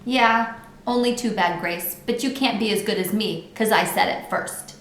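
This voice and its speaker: A woman's voice: mid-range voice, moderate pace, fairly bright tone, close to an everyday voice. A woman reading a children's book as a brash, bragging little girl who talks in childlike, emphatic bad grammar. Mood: boastful. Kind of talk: children's book read-aloud. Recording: roomy echo with background noise.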